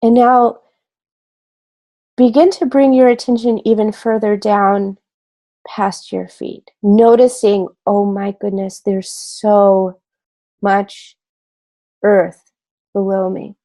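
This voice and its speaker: A woman leading a guided meditation in a gentle, soothing way, unhurried and pausing between short phrases. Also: mid-range voice, level tone.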